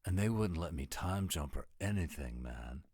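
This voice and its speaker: A man's voice: very deep voice, lively intonation, moderate pace, a little mellow, husky, dry recording, studio quality, heavily acted. A man voicing a laid-back, goofy American dude in an audio roleplay, with a rambling, dim-witted slacker drawl and an American accent.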